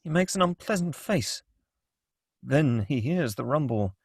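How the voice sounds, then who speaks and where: Higher-voiced man narrating, close and dry. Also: animated, unhurried, slightly rough, no echo. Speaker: narrator reading stage directions. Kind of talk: scripted screenplay narration.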